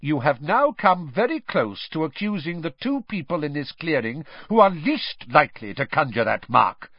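A man's voice: on the high side, big pitch swings, moderate pace, no room sound, highs cut off, pitched above the performer's own voice. A man voicing a cold, stern senior official who speaks in a clipped, icy, barking way. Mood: cold anger.

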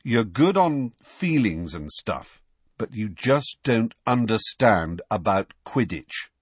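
A man's voice: fairly low pitch, big pitch swings, slow pace, breathy edge, no echo, full character voice. A man voicing an earnest, frank, sports-mad teenage boy in an audiobook.

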